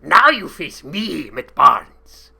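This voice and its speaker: A man's voice: fairly high pitch, sing-song, creaky, pushed and bright, slightly rough, pitched above the performer's own voice, full character voice. A man doing a growling brutal henchman with a thick foreign accent. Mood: snarling. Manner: growling, slow and heavy. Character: brutal skinny male henchman with broken English.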